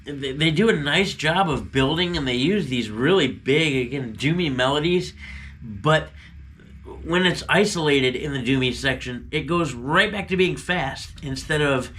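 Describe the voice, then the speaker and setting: Male co-host with a cold, sniffly; bright, tense voice, wry humor. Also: fairly high pitch, animated, unhurried, slight background noise. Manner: wry, joking. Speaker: album review co-host. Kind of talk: casual album review chat.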